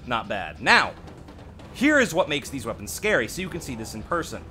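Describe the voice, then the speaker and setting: Animated man doing casual game commentary. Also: medium pitch, moderate pace, faint music behind. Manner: conversational, opinionated, self-deprecating. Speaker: gaming channel host.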